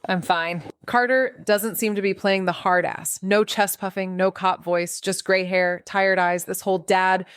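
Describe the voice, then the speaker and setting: A woman's voice: mid-range voice, steady tone, measured pace, hardly any pauses, clear, resonant tone, no room sound, clean studio sound, natural voice. A woman playing a wry, restless young amateur investigator in a noir audio drama. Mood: guarded, sarcastic. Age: young adult. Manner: wry, sarcastic.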